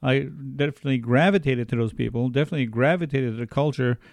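A man from Turtle Mountain, North Dakota, talking as a guest on a radio show in a studio, halting and repeating his words. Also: mid-range voice, a little mellow, no echo. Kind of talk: casual radio interview talk.

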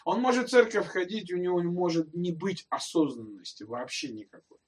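A man preaching with a high-pitched voice, talking to his congregation as if in conversation and putting questions to them. He sounds some way off the microphone, so the voice is a little distant. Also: fairly quick. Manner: conversational preaching, addressing listeners directly with rhetorical questions. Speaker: pastor. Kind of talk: sermon.

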